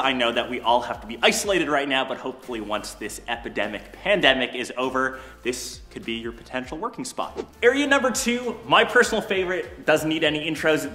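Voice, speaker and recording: A man's voice: on the high side, bright, forceful tone. A young man hosting a casual vlog, giving a chatty, upbeat tour and answering viewer questions. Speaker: YouTuber. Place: empty condo studio, large bare room. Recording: echoey empty room.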